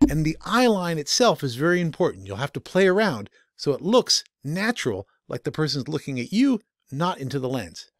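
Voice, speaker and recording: A man's voice: on the high side, big pitch swings, normal speed, few pauses, no echo, clean studio sound. A man narrating a scripted instructional video as the instructor.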